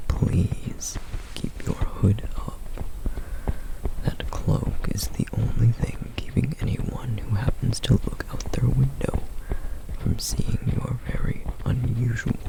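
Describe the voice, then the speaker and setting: Protective flame demon, voiced by a man, who talks in a slow, sardonic whisper with a gravelly edge. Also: medium pitch, fairly even intonation, low-quality recording. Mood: guarded. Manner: hushed, measured and dryly sardonic. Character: protective, duty-bound male flame demon guide. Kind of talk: whispered ASMR roleplay.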